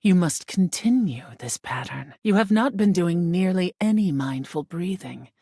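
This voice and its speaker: An actress voicing a video game goddess of air, haughty and stern, with solemn formal phrasing and a breathy voice that often sinks into whisper. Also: female voice, fairly low pitch, expressive, measured pace, dark tone, dry recording, clean studio sound, heavily acted. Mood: measured approval. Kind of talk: scripted video game character acting.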